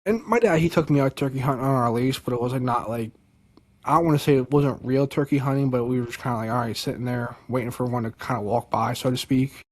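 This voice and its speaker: Excited young man telling a hunting story. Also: mid-range voice, quick pace. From Delaware. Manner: animated, many fillers like "like" and "dude". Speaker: podcast guest. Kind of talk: casual storytelling.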